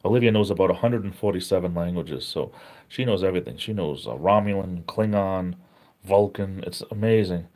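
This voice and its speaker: A tired middle-aged man hosting a casual live stream, reading the chat aloud, relaxed and chatty and easily amazed. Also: sits low, fairly quick.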